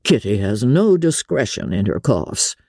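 A woman voicing a dry, unruffled middle-aged gentleman father in a classic novel audiobook, measured, deadpan and mock-solemn. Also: rumbling low, gravelly, husky, no room sound, exaggerated voice. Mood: dry, teasing.

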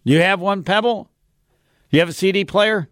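A man's voice: sits high, moderate pace, fairly bright tone, no room sound, studio quality. A man co-hosting a sports radio show in casual chat, rambling and cutting himself off mid-thought. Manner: rambling, self-interrupting, chatty.

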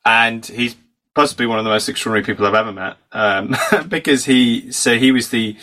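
A man's voice: mid-range voice, brisk, pushed and bright. A man from the United Kingdom, a psychology professor and author, telling stories fluently as a guest in an interview, slightly losing his voice from a cold. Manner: fluent storytelling, explanatory. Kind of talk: conversational podcast interview.

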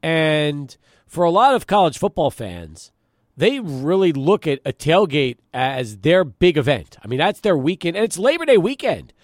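A man's voice: fairly high pitch, big pitch swings, normal speed, no echo, studio quality. A middle-aged man hosting a sports talk radio show, conversational and emphatic, punctuating his points. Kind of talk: sports talk radio, chatting and prompting callers. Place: radio studio.

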